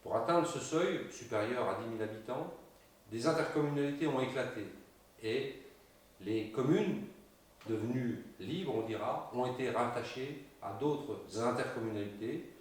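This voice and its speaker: A man giving a prepared campaign presentation in a measured, didactic way with formal phrasing, as a local candidate. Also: mid-range voice, expressive. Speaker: local election candidate presenting the list's programme. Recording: very echoey room, distant microphone.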